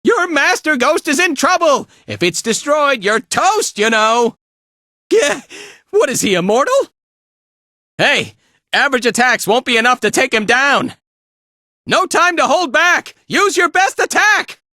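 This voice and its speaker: A man's voice: very high voice, very animated, a little slow, bright, forceful tone, no room sound, clean studio sound, full character voice. A man playing a spirited, laid-back fighter and guide in a video game, with a breezy, colloquial way of talking. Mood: excited, urgent. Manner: breezy, colloquial, tagging lines with casual check-ins. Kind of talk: animated video game voice acting.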